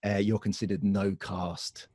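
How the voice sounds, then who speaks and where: A man's voice: on the low side, level tone, measured pace, darkish tone, breathy edge, dry recording, clean studio sound. A man co-hosting a casual podcast, joking and laughing easily, rambling with fillers. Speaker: podcast co-host.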